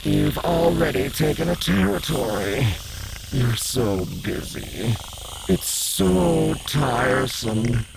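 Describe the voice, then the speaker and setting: A man voicing a fat, lazy female giant spider who grumbles in a slow, drawn-out way, for an audiobook. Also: mid-range voice, lively intonation, gravelly, noisy background, voice pushed up. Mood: grumbling. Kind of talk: audiobook character voice.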